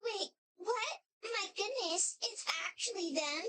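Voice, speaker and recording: A woman voicing a young, earnest and excitable fantasy knight character in an anime-style video game. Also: very high-pitched, slow pace, heavily acted. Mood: flustered, panicky.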